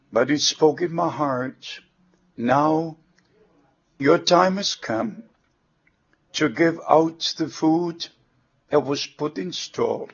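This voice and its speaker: A German-accented man preaching a sermon, each thought broken into short, deliberate phrases at a very slow pace. His voice sits a little high for a man. The recording is dull and lo-fi.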